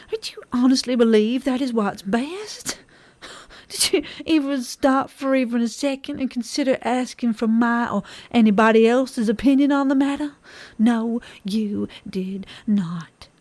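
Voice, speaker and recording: Sensible, fed-up country woman, actress, slightly high voice, emphatic and halting, comedy audio drama. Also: darkish tone, dry recording, lightly acted.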